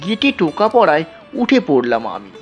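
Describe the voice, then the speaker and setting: Male storyteller, dramatic scripted narration, lively swings in pitch, music underneath. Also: normal speed. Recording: dull, lo-fi sound.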